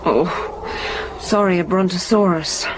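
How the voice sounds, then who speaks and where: A woman acting in a radio drama as a plucky, sharp-tongued expedition member, forthright and wry. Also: fairly low pitch, takes it slowly, noisy background. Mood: wry, questioning.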